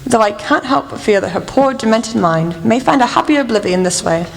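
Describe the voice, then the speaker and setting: Lady playing a shrewd bossy detective, formal and stern, highish voice, radio drama, lots of reverb. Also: expressive, brisk, creaky. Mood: grave. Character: commanding, shrewd female detective. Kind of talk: radio play acting. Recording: long reverb, music underneath.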